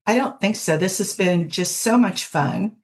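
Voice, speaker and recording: A woman's voice: mid-range voice, lively intonation, measured pace, darkish tone. A woman speaking as a podcast guest, answering casually in an encouraging, orderly way. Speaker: podcast guest, amateur audio fiction creator. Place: remote video call.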